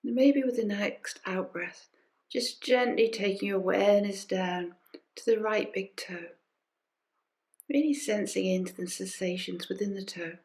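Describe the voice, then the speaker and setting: Woman softly guiding a body scan meditation. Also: mid-range voice. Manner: gentle, unhurried, with pauses and soft invitations. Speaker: meditation teacher leading a group session. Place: recorded during an online session.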